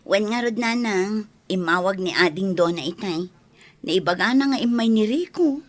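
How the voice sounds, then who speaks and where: A woman's voice: mid-range voice, animated, normal speed, lightly acted. An actress with an Ilocano accent playing a sincere, devoted young single mother in a radio drama. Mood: apologetic, explaining.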